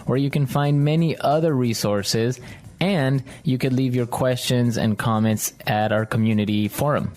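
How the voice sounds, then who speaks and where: A man's voice: medium pitch, steady tone, moderate pace, darkish tone. Casual male language podcast host. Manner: casual, clearly explaining. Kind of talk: conversational language lesson.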